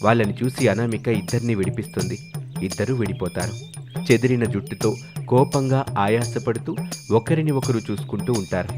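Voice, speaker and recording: A man's voice: on the low side, normal speed. A man reading scripted narration for a moral story. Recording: music clearly behind the voice. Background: background music.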